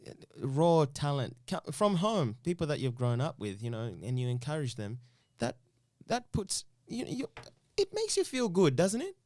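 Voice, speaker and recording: A man's voice: fairly high pitch, huge pitch swings, moderate pace, few pauses, darkish tone, dry recording. A young man from Uganda, a dancer and dance teacher, talking earnestly in a casual interview and often restarting his phrases.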